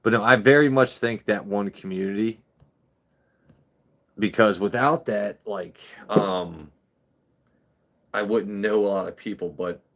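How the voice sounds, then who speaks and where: A man's voice: on the low side, dull audio. A man in his thirties hosting a casual livestream, talking bluntly with lots of swearing and rambling asides. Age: 30s. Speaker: whiskey channel host.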